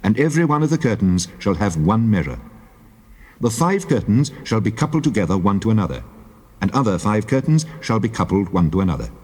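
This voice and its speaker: Solemn, deep God in vast reverb. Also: male voice, big pitch swings, darkish tone, husky. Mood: solemn, commanding. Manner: solemn, measured, declamatory. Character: God, solemn commanding male deity giving instructions. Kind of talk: dramatized scripture reading in archaic English. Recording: long cavernous reverb.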